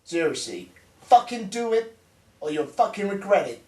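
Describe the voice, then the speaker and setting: A man playing a profane, bullying spoiled son of a crime boss, in a dark comic drama. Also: sits high, sing-song, moderate pace. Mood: angry, taunting. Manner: profane, aggressive, ranting. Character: foul-mouthed bullying spoiled heir of a crime boss father. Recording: roomy echo, distant microphone.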